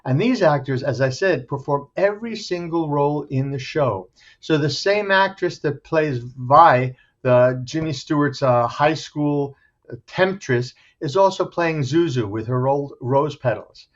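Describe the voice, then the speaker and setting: A man with a slightly high voice speaks as an interview guest, a theater director keen on his production. He describes things with enthusiasm. The sound is a bit dull and lo-fi. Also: measured pace. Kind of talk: enthusiastic interview talk promoting a show.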